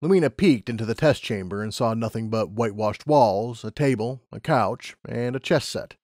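A man's voice: medium pitch, moderate pace, darkish tone, no room sound, studio quality. A man narrating a scripted story as an audiobook narrator.

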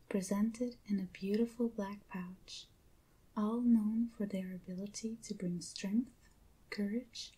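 Slow, breathy half-whispering woman, non-native English. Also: medium pitch, low-quality recording. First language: not English. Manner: gentle, hushed, unhurried. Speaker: ASMR video creator. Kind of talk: soft-spoken ASMR unboxing.

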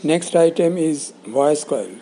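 A man with a fairly high, mellow voice narrates an instructional script. He explains things in short, measured phrases, as an instructor would. There is faint noise in the recording. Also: animated. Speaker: instructor presenting components.

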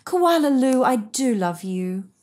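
A woman's voice: a little high, lively intonation, slow pace, darkish tone, voice pushed up. Woman voicing a tender, lilting koala mother. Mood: loving. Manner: affectionate, lilting.

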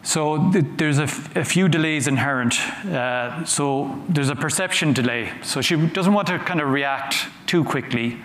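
A man giving a conference talk from a stage, conversational and halting, often repeating words as he goes. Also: on the high side, a bit bright. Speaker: conference speaker. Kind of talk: conference talk with audience questions. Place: large conference hall on a stage. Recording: roomy hall sound with faint noise.

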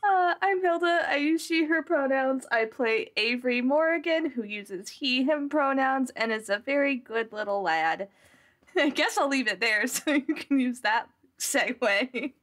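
Female podcast player, high voice, casual playful banter. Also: animated, unhurried, fairly bright tone.

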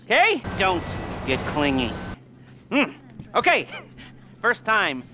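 A man's voice: high-pitched, wildly swooping pitch, noisy background, voice pushed up, exaggerated voice. A man doing a creepy, leering heist planner. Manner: leering, teasing, drawn-out delivery. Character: creepy nerdy middle-aged male heist planner.

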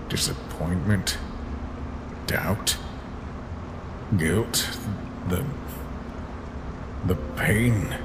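A man voicing a weary, gentle skeleton monster in a comic dub, speaking in a halting, resigned way. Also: lowish voice, background noise. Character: weary, guilt-ridden male skeleton monster, protective and gentle. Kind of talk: dramatic comic dub voice acting.

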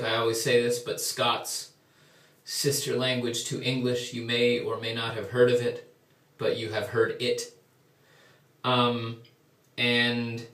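A man's voice: mid-range voice, a bit bright. A young Canadian man talking casually and in a rambling way, then singing a ballad to his ukulele, with a few self-deprecating asides, recorded in a small tiled bathroom by a distant camera. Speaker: folk singer. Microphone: far camera. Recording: echoey tiled room, distant mic. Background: ukulele playing.

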